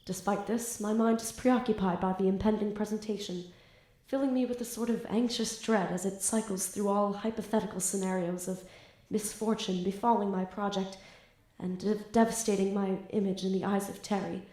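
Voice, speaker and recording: A woman's voice: mid-range voice, fairly even intonation, normal speed, dark tone, lightly acted, rough recording. Woman as an eager teenage magician. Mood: dramatic narration. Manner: casual teen banter, theatrical wordy narration. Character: eager, flippant teenage student magician, lovestruck and anxious. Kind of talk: audio drama acting and first-person narration.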